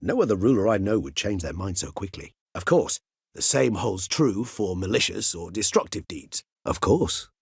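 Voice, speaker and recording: An actor playing an erudite, courteous older male vampire in a video game, speaking in formal, eloquent, measured phrases with a husky voice. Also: on the low side, big pitch swings, no echo, studio quality, heavily acted. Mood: courteous, composed.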